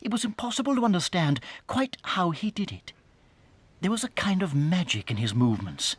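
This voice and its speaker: A man narrating a children's story in a measured, dramatic storytelling manner. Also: sits high, big pitch swings, slightly rough, no room sound. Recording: studio narration over music.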